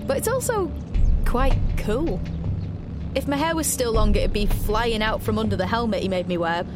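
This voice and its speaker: A young woman rebel, voiced by an actress in a dystopian audio drama, with a fairly high voice. She is sarcastic and anxious, expressive in both dialogue and first-person narration. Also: normal speed, over loud music, close to an everyday voice. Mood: wondering, reflective. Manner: sardonic, exasperated, expressive.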